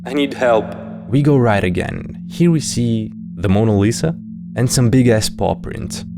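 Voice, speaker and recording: A man giving scripted video commentary as a host, with casual, wry asides. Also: fairly low pitch. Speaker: host of a horror series breakdown video. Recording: music behind the voice.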